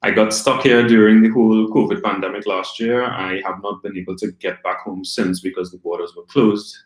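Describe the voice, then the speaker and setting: A man from Trinidad and Tobago in his thirties, a guest telling his story in a relaxed, rambling way with many ums. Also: on the low side. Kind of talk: casual live-stream interview. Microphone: video call. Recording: echoey room on a video call.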